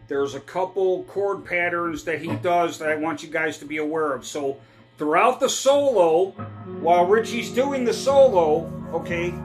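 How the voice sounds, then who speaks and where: A middle-aged man giving a relaxed, casual guitar lesson, often checking in with "all right?" and "okay?". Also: fairly high pitch, normal speed, poor audio. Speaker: guitar teacher. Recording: faint guitar playing between phrases.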